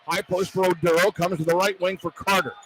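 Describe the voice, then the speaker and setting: Guy doing radio hoops play by play, high voice, short punchy phrases, bit of arena noise behind. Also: little pitch movement, fairly quick. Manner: clipped running phrases, voice rising to shouts on big plays. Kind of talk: live basketball play-by-play commentary. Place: courtside in a college basketball arena. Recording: live arena broadcast with background noise. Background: arena ambience, faint noise.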